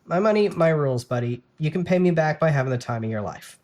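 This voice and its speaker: A brash young woman, as the narrator puts her on for an audiobook, with her pitch lifted. She is breezy, crude and teasing, with a playful bossiness. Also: expressive, fast, a little mellow, close to an everyday voice. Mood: playfully bossy. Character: brash, teasing, generous big-sister type young woman.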